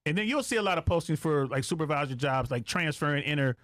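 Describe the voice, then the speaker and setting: A man's voice: fairly high pitch, lively intonation, quick pace, a bit bright, no echo. A middle-aged man chatting casually as a podcast guest, slangy and emphatic, repeating phrases to drive points home. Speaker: guest, retired air traffic controller.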